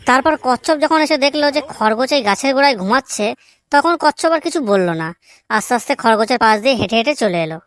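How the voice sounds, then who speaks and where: A woman doing a high-voiced cheeky cartoon schoolboy. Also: lively intonation, brisk, a bit bright, no room sound. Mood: cocky. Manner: cheeky, blunt excuse-making. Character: cheeky, crude, forgetful village schoolboy. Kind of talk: comedy cartoon dubbing.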